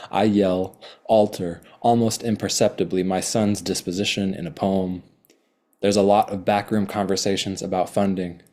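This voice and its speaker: A man reading his own poems slowly and deliberately, with hesitant, rambling remarks between them. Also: low-pitched, darkish tone. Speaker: poet. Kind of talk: poetry reading with chatty asides.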